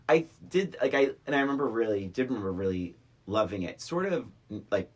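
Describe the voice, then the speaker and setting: A middle-aged podcast co-host chatting casually about movies in a halting, rambling way, often acting out past reactions. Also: medium pitch, lively intonation. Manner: halting, rambling, self-quoting storytelling.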